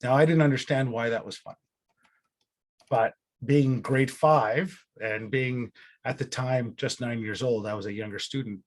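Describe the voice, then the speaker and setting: A middle-aged man gives a personal talk as a guest speaker, in a voice of average pitch. He tells his story earnestly and deliberately, setting off key phrases with dramatic pauses. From born in Israel, grew up in Alberta, Canada.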